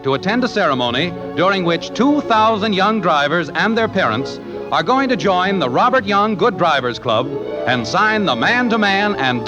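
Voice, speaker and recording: Male American announcer, high-pitched, lively intonation, 1950s radio sign-off style, music behind. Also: low-quality recording.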